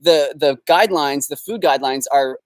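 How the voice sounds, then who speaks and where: A man's voice: fairly high pitch, moderate pace, dry recording, clean studio sound. A young Canadian man hosting a casual live Q&A from his backyard, upbeat and rambling with fillers. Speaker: host. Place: backyard, outdoors.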